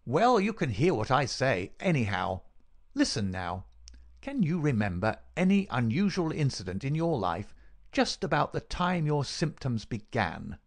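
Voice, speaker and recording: A man voicing a cruel, gloating murderer in an audiobook, sneering and mock-solicitous in a dry rasp. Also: mid-range voice, sing-song, a little slow, voice pushed up. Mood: insistent, menacing. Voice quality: dry, rasping. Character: gloating, cruel murderer taunting his dying victim. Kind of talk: dramatic audiobook character reading.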